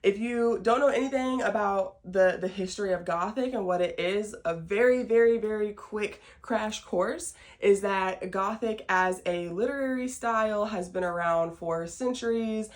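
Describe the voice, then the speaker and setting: A woman's voice: mid-range voice, moderate pace, hardly any pauses. A woman in her late twenties with an American accent, hosting a podcast and chatting casually to the audience in a folksy, self-deprecating way. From small-town Southern Illinois, United States. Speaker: podcast host. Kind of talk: casual chat to camera, introducing a show.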